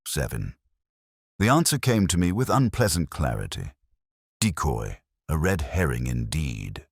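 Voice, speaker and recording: A man reading a scripted first-person detective story in a measured, literary and reflective way. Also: a bass voice, no echo, clean studio sound. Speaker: narrator.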